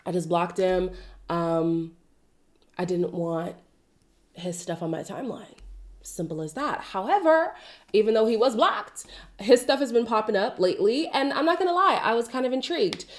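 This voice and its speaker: A woman from Zimbabwe who is sick, hosting a casual pop-culture commentary show on her own, chatty and expressive, with side tangents. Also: mid-range voice, moderate pace. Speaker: commentary channel host.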